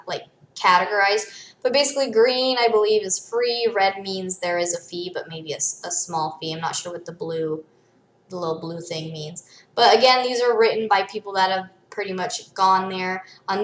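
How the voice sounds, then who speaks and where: Female vlogger, American, middle-aged, medium pitch, casual step-by-step explainer, roomy echoing sound. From United States (born in Michigan, raised in Arizona from age seven or eight). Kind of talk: casual explanatory vlog talk.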